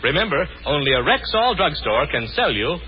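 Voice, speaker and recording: Animated male 1940s radio announcer. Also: fairly high pitch, normal speed, slightly rough. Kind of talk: 1940s radio scripted ad and narration. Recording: muffled 1940s radio recording.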